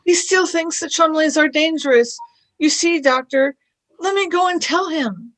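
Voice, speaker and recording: A woman's voice: sits high, unhurried, fairly bright tone. A woman in a radio play acting an earnest, eager young companion with a pleading manner. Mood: curious, urgent.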